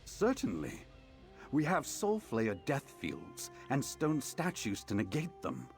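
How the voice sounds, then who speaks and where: A man voicing a brisk, impatient, analytical mage in a video game, clipped and formal as he thinks things through. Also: medium pitch, huge pitch swings, full character voice. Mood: pondering. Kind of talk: video game character acting. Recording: music behind the voice.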